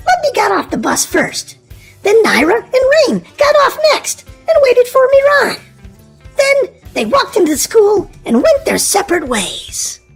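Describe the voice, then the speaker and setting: A woman reading a children's storybook aloud in an expressive, animated way. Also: very high voice. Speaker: storybook narrator.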